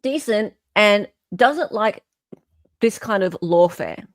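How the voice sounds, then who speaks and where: A woman with an Australian accent talks casually to a live audience in a medium-pitched voice. She is emphatic, often repeating a phrase to drive it home. The sound is dry, with little room around it. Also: animated, takes it slowly, no echo. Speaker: livestream host. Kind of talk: casual live chat commentary.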